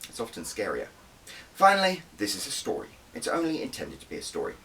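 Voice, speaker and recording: A man's voice: on the low side, huge pitch swings, fast, a bit bright, recorded from a distance, rough recording. A man reading his own story aloud as an audiobook, with a wry, conversational manner and dramatic emphasis. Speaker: author reading own book. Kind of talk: first-person audiobook narration.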